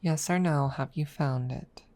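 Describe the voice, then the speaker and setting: A woman voicing a haughty, centuries-old dragon rival who is secretly smitten, speaking haltingly in a close-miked ASMR roleplay. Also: low voice, mellow, a little hiss or hum. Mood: haughty, accusing. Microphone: close microphone.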